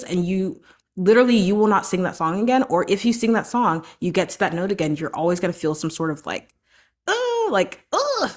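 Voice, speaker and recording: Female vocal coach hosting a solo podcast; a little low in pitch, casual and encouraging, peppered with fillers. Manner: chatty and encouraging, frequent "like" and "right?".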